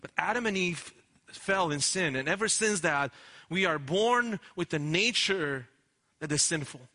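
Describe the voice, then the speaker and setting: A man's voice: a little high, animated, a little slow, bright, forceful tone. A man preaching a sermon in an earnest, emphatic way, often restarting his words. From Romania. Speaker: pastor.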